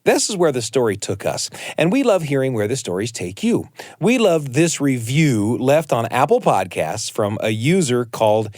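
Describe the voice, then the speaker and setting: An American man hosting a podcast like a storyteller, with expressive, deliberate emphasis, close to a studio microphone. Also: mid-range voice, dry recording. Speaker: podcast host.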